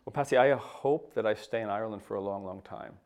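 Halting, low-voiced clergyman. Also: a little mellow. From United States. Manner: hesitant, many restarts and fillers. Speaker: papal nuncio, interview guest. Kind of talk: thoughtful interview answers.

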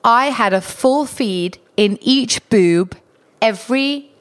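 A woman's voice: fairly high pitch, slow, clear, resonant tone. Frank woman from Denmark. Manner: frank, animated storyteller. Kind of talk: casual chat.

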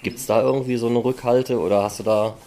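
A man's voice: mid-range voice, steady tone, fast, warm tone. A man hosting a podcast, asking questions in a casual, conversational way. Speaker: podcast host, interviewer. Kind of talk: casual interview chat.

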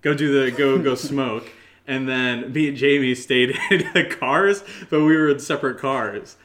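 A man co-hosting a podcast, telling a story casually in a hesitant, halting way. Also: mid-range voice, fairly bright tone. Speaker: podcast co-host. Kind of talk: casual chat.

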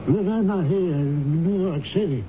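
A man's voice: high-pitched, moderate pace, natural voice. An American actor playing a grouchy, reclusive, suspicious father-in-law in a radio drama, curt, hesitant and grudging. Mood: suspicious, dismissive. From United States. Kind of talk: old-time radio drama acting. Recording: noisy, muffled phone-like old radio recording.

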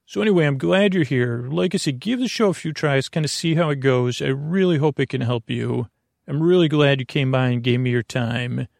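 A man hosting a bedtime podcast in a creaky, soothing voice, meandering haltingly through digressive monologue meant to lull listeners to sleep. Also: mid-range voice, dry recording, clean studio sound. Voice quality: creaky, gravelly. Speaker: podcast host.

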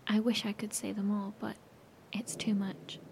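A woman playing a weary, sombre bunker leader speaking in a hushed, breathy, measured way in a horror audio drama. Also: medium pitch, flat delivery, slight background noise, lightly acted. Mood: mournful.

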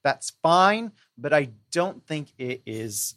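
A man with a dry, close sound talks as a podcast guest. His commentary is measured and analytical, within a casual book chat. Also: on the high side, very animated, fairly bright tone, no echo.

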